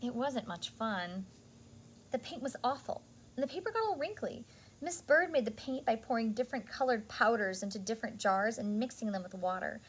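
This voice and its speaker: A woman reading a picture book aloud to young children in an expressive, deliberate storytelling style, adding asides for her listeners. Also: a little high, a little hiss or hum, rough recording.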